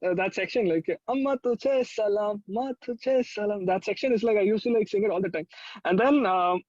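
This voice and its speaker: A young man with an Indian accent talks in a high voice, casual and rambling with plenty of enthusiasm. The sound is dry, close and a little dull. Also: fairly quick, a little mellow, no echo. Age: young adult. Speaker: musician guest. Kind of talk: casual chat, reminiscing.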